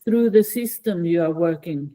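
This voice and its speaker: A woman's voice: lowish voice, normal speed, warm tone. A woman asking a guest questions in an interview, hesitant and full of "uh" fillers. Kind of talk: conversational questions.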